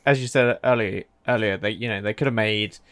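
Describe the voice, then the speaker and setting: A man co-hosting a casual podcast, easygoing and quick to agree. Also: on the low side, a bit bright. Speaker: podcast co-host.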